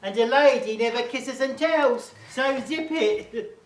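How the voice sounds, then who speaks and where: A woman's voice: high voice, measured pace. A woman playing a drunken, curt and sardonic performer with mock dignity in a radio play. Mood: surly. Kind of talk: comic radio play acting. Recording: echoey room, far from mic.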